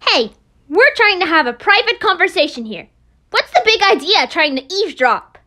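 A woman's voice: very high-pitched, big pitch swings, moderate pace, bright, forceful tone, exaggerated voice. A woman voicing a brash, hot-tempered teenage girl in an anime game, blunt and scolding. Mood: indignant. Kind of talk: amateur anime game voice acting.

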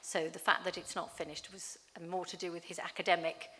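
Woman council officer, fairly low voice, businesslike explaining, reverberant hall. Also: level tone, fairly quick, sharp tone.

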